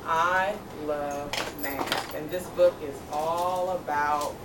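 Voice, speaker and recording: Woman, lower-pitched voice, teacher's interactive read-aloud, encouraging, far from the mic, noisy room. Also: fairly even intonation, slow pace. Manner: encouraging, repeats pupils' answers back. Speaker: teacher reading aloud to second graders. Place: room with a group of children. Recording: distant, echoey, noisy room recording.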